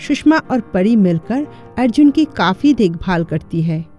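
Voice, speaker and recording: A woman's voice: medium pitch, animated, unhurried, a little mellow, faint music behind. A woman giving scripted narration for a cartoon moral story.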